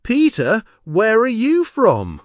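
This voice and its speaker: An actor voicing a friendly young schoolboy in a scripted textbook dialogue, speaking slowly and clearly. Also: medium pitch, wildly swooping pitch, no echo. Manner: slow, clear, carefully spoken.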